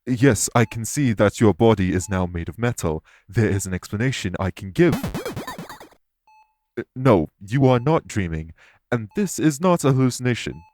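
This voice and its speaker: A man voicing a calm, courteous winged elf in an audio roleplay, speaking formally and patiently to reassure the listener. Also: lowish voice, normal speed, faint music behind, close to an everyday voice. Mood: urgent, soothing.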